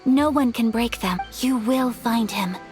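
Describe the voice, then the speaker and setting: A woman acts a refined young noblewoman from a video game, with a high-pitched voice. The manner is courteous, formal and earnest, gentle and sincere, with music playing behind. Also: unhurried, lightly acted. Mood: heartfelt.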